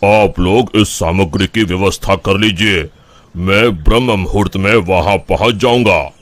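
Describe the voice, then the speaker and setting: A man voicing a calm, pious village priest in a cartoon, with a measured, polite, solemn manner. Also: low-pitched, no echo. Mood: solemn, reassuring. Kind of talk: Hindi cartoon voice acting.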